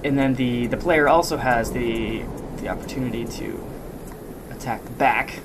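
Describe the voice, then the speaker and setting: A man giving a casual, hesitant software demo walkthrough as a game sound designer, thinking aloud as he goes. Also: medium pitch, a little slow, background noise. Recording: loud music and effects behind voice. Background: loud music and game sound effects.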